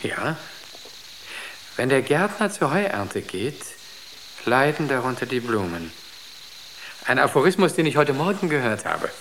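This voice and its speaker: Spellbound artist, solemn but very animated pitch, radio play, bit of noise. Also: male voice, low-quality recording. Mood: absorbed. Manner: rapt, measured, solemn. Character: entranced male artist, serenely devoted to an inner voice. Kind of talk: German radio drama acting.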